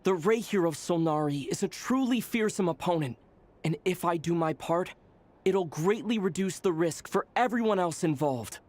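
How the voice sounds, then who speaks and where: An actor playing an earnest, courageous young adventurer in a video game, speaking politely. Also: male voice, sits high, expressive, moderate pace, a little mellow. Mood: earnest, resolute.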